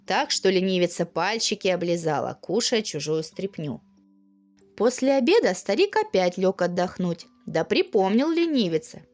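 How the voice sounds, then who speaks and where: A woman narrates a fairy tale for children with expressive, singsong delivery. Her pitch swings widely through each phrase. Soft music plays behind her. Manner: animated, singsong storytelling for children.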